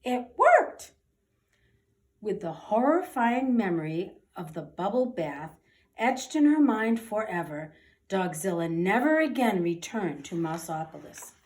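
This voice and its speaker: A woman narrating a children's story aloud in an expressive, dramatic and deliberate way. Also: mid-range voice. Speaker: storybook reader.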